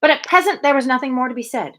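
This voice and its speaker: A woman's voice: sits high, animated, rapid-fire, a bit bright. A woman hosting a live novel reading, speaking informally and thinking aloud with casual asides. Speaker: livestream reader and host.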